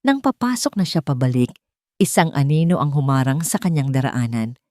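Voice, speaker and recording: Low mellow lady narrator reading a romance drama, dry close sound. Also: expressive, normal speed, dry recording. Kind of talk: scripted dramatic story narration.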